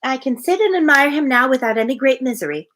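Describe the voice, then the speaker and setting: Storybook sweetheart: young woman, played by a female reader, high and lilting, wistful, sighing, earnest. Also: expressive, fast talker, fairly bright tone.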